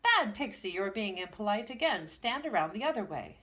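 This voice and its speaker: A woman's voice: mid-range voice, sing-song, fairly quick, pitched above the performer's own voice. A woman voicing a stern, blustering male schoolmaster who barks orders at his class in a storybook. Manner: loud, barking, pompous.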